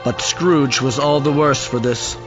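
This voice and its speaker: A man narrates solemnly in a mid-pitched voice, as in an audio storybook. The recording is slightly muffled and has music clearly behind it. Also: unhurried. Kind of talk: solemn scripted literary narration.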